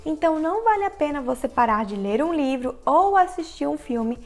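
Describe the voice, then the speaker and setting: A woman with a Brazilian Portuguese accent explaining English listening tips directly to the viewer in a clear, instructional vlog narration. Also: high voice, expressive, brisk, darkish tone, soft background music. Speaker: English teacher, channel host. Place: living in the United States.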